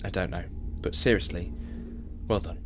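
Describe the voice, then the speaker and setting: A man's voice: low voice, fairly even intonation, normal speed, background noise, lightly acted. A man playing a guarded, awkward time traveller in an audio drama, speaking hesitantly and brushing things off. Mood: awkward, grateful. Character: guarded male time traveller, awkward, evasive, secretly shaken.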